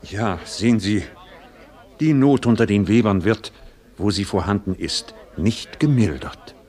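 An actor playing a staid, moralizing older pastor in a radio play, with an unctuous, sermonizing manner. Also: male voice, fairly low pitch. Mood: pious, admonishing. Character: staid, moralizing older male village pastor, complacent and preachy.

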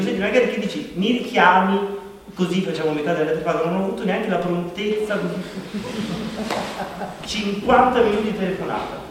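A man's voice: high-pitched, natural voice. Echoey, exasperated man acting on a stage. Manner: exasperated, digressive, conversational storytelling. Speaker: stage actor. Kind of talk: theatrical monologue in Italian stage production. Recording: very echoey hall, distant mic, faint noise.

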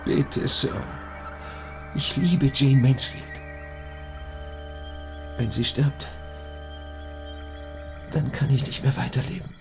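A man's voice: mid-range voice, slow, gravelly, pauses often. An actor playing a polite, lovesick male robot in a radio drama, earnest, deferential and pleading. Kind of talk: German radio drama acting. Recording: music playing behind the voice.

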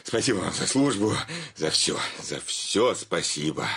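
Very deep, pompous bank chairman. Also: animated, vocal fry, fairly bright tone, slightly rough, exaggerated voice. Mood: gushing. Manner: gushing, florid, self-important, theatrical drawn-out words. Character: pompous, effusive, self-satisfied male bank chairman. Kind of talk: theatrical radio play acting.

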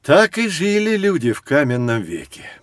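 Patient storytelling guide in dry sound. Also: male voice, on the high side, wildly swooping pitch, moderate pace, dry recording. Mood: patient, reflective. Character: wise adult male guide, Vladimir Sergeyevich, patient and kindly storyteller. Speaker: narrator and guide. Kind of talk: children's educational audio drama narration.

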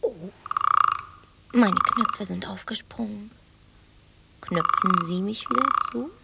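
Slow, breathy, dreamy island woman. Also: mid-range voice, very noisy. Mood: tender, amorous. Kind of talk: German radio play acting.

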